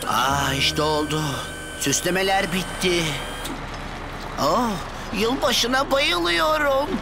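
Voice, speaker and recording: Slow-talking, sweet cartoon crane truck. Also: female voice, medium pitch, very noisy. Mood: excited. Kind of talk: animated children's cartoon dubbing.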